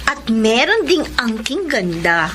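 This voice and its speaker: A woman's voice: fairly high pitch, big pitch swings, slow pace, background noise. An actress playing a spirited young woman student in a Filipino radio drama, teasing and sincere, with a Filipino accent. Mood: bright, teasing. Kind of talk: radio drama acting with first-person narration.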